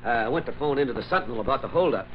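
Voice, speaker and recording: An actor playing a cocky, slangy young newspaper reporter in an old-time radio drama. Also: male voice, mid-range voice, animated, rapid-fire, natural voice. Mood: brash. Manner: slangy, brash. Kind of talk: 1940s radio acting. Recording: muffled, noisy old radio recording.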